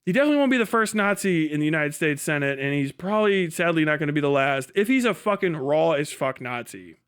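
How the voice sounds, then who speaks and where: Blunt, sweary man with a highish voice. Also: lively intonation, fast, a bit bright, clean tone. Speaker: livestream host. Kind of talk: casual opinionated political commentary on a livestream.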